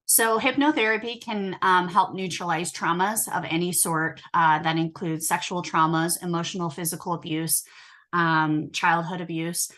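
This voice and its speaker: A woman hypnotherapist chatting in an interview, telling her own story with many fillers and frequent throat-clearing. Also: on the low side, fairly even intonation, moderate pace, a bit bright. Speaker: hypnotherapist guest.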